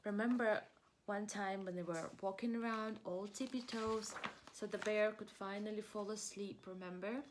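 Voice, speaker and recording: A woman reading a children's storybook aloud as a preschool teacher, expressive, in simple child-directed speech with questions she answers herself. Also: medium pitch, moderate pace, a little hiss or hum, low-quality recording.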